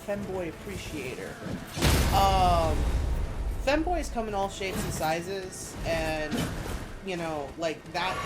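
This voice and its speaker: A young adult game streamer with a youthful-sounding voice, chatting casually in a rambling, frank, emphatic way and swearing freely. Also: mid-range voice. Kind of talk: casual chat while gaming. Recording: game music behind the voice. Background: video game music.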